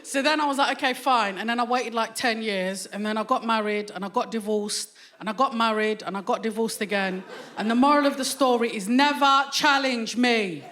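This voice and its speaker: A woman's voice: fairly high pitch, brisk. A woman doing a cheeky, brash stand-up set on a theatre stage, full of street slang. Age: 40s. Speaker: stand-up comedian. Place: theatre stage with live audience. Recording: loud audience laughter and noise.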